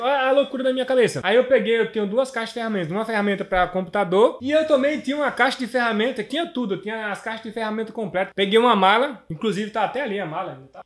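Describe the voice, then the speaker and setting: A man in his thirties with a Sergipe accent from northeastern Brazil, telling an anecdote casually to camera in a colloquial, repetitive way. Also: high-pitched. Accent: Sergipe, northeastern Brazilian Portuguese. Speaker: vlogger. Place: small home room with desk, indoors. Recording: echoey room.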